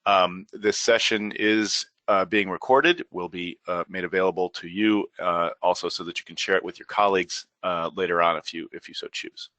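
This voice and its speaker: A middle-aged man with a froggy, hoarse voice presenting a software training webinar, hesitant, with many fillers and restarts. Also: deep voice, steady tone, normal speed, hardly any pauses, dry recording. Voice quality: frequent filler words and restarts. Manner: rambling, hesitant delivery.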